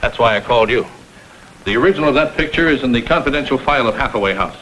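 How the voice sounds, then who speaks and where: A man's voice: mid-range voice, expressive, racing, a bit bright, close to an everyday voice. An actor playing a middle-aged, self-made father in an old crime drama, fiercely protective, blunt and threatening. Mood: grave, troubled. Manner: blunt, emphatic, tough-talking. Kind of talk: vintage American television crime drama acting. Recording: faintly noisy vintage film soundtrack.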